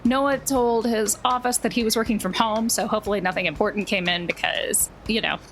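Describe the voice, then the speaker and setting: A woman's voice: medium pitch, steady tone, brisk, a bit bright, a little hiss or hum. A woman narrating a tabletop game as its game master in a conversational, folksy way.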